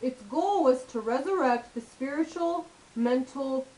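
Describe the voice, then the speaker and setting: A woman's voice: high-pitched, lively intonation, a little slow, darkish tone, low-quality recording. A woman voicing chatty, opinionated male puppets in a scripted school history show. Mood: explanatory.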